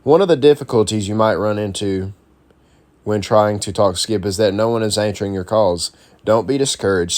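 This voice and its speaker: A man presenting an instructional guide, reading a script aloud in an informal, explanatory way. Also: on the low side. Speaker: presenter of a CB radio how-to guide.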